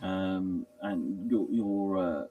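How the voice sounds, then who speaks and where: Slow, low-voiced male game master. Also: fairly even intonation, no room sound, recorded from a distance, phone-quality sound, soft background music. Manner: halting, hesitant, pausing mid-sentence. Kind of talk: improvised tabletop roleplay narration.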